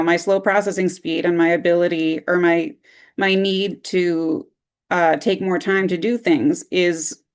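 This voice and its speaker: Smooth-voiced candid woman panelist. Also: lowish voice. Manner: candid, earnest. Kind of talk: personal statement on a panel.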